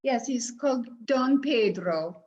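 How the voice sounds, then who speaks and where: A woman's voice: on the high side, lively intonation, takes it slowly, darkish tone, voice pushed up. A woman voicing a coaxing, matchmaking old grandmother who eggs her granddaughter on. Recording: lo-fi recording.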